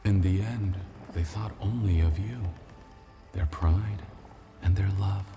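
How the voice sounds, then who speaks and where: Deep, grave ancient spirit, fantasy game; reverent and slow. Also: male voice, heavily acted. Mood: solemn, grateful. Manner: solemn, formal, deliberate. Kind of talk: fantasy video game acting. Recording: long reverb with clear music behind.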